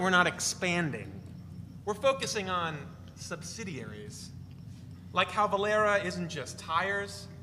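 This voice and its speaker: A man playing a glib, teasing spinner of deadpan tall tales, with conversational salesman's patter, in a dramatic reading. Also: high voice. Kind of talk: dramatic reading of a novel before an audience. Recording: noisy, reverberant hall recording.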